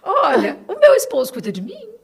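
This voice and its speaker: A woman with a fairly high voice and a Brazilian Portuguese accent speaks as a guest in a casual interview. She is emphatic and often repeats a phrase to press her point. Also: huge pitch swings, fairly quick, creaky. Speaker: podcast guest.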